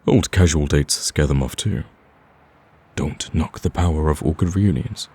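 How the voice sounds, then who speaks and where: A male actor plays a cocky villain hopelessly smitten, wooing in a romantic audio roleplay. His voice is extremely low, dark and husky. He talks in a smooth, teasing way with an earnest, persistent plea underneath. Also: a little slow, full character voice. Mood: wry, teasing. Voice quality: husky, very deep. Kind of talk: romantic ASMR roleplay acting.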